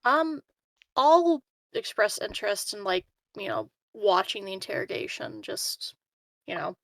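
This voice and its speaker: Casting: female gamer, mid-pitched, casual table chat, dry audio. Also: sing-song, unhurried, a bit bright, no room sound. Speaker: tabletop game player.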